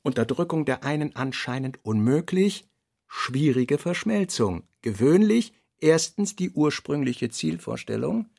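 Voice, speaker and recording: Husky, brooding middle-aged novelist. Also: male voice, medium pitch, dry recording, studio quality. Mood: brooding, clipped. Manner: deliberate, terse, aphoristic. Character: brooding middle-aged male novelist reading out his working notes. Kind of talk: literary radio play monologue.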